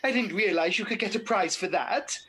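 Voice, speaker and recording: A woman's voice: mid-range voice, a little fast, background noise, close to an everyday voice. A woman playing a good-hearted, hard-up mother in a radio pantomime, chatty and dry. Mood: chatty, pleased.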